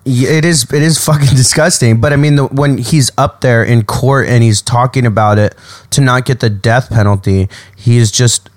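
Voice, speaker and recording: A man co-hosting a podcast in casual chat, speaking haltingly, repeating himself and swearing often. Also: mid-range voice, no room sound, clean studio sound. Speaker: podcast co-host. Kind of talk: casual chat about true crime.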